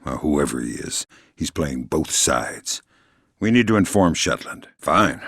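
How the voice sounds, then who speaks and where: A man playing a middle-aged, dry-witted, gruff covert operative in a video game, deadpan, sardonic and quietly menacing. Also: extremely low pitch, a little slow, no echo, heavily acted. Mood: focused, terse. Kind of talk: video game voice acting, isolated dialogue lines.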